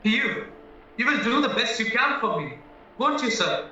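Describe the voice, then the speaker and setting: An actor as a naive young man accused of murder in an audio drama. His voice is high and sharply bright, full of nervous worry, in a very echoey room. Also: very animated, a little slow, heavily acted. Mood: worried, pleading. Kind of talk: stage-style radio play acting. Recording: echoey, distant, muffled room recording.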